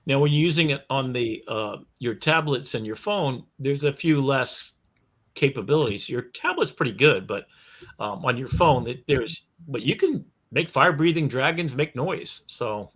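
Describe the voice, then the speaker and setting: A man chatting casually as a software expert, enthusiastic and exclamatory, rambling as he explains. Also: medium pitch, lively intonation, moderate pace. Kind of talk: casual live tutorial chat.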